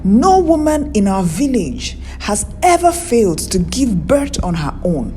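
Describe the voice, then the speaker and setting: A woman's voice: medium pitch, big pitch swings, measured pace, dark tone, faint music behind. A woman storyteller voicing scornful, judgmental village women in a folktale, with a stern, disapproving manner.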